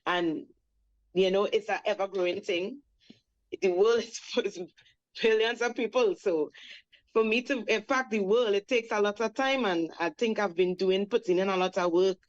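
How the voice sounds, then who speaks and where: A Trinidadian woman in her forties speaks as a radio guest, reflective and unhurried, leaning on "you know" as she tells her story. Her voice sits at a middle pitch, and the recording sounds a little dull and lo-fi. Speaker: reggae singer, guest. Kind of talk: radio interview.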